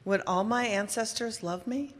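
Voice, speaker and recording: A woman reads out short scripted statements in a fairly high voice. The sound rings with the long reverb of a large hall. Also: normal speed. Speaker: reader of short personal statements.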